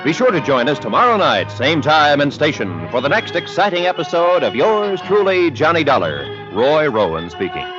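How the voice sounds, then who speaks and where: A man announcing a 1950s radio show, formal and measured. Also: a little high, very animated. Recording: dull old radio transcription with music behind.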